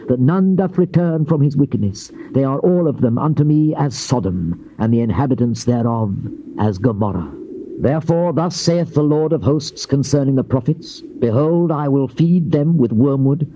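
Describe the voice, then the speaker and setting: Casting: male actor as stern, solemn British prophet for dramatized scripture; mellow dark timbre, theatrical declamation, drawn-out endings, muffled cassette sound. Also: medium pitch, close to an everyday voice. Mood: stern, denouncing. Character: stern male Old Testament prophet declaiming divine judgment. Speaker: scripture narrator voicing a prophet. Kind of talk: dramatized King James Bible recitation, theatrical. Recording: muffled, noisy old cassette tape.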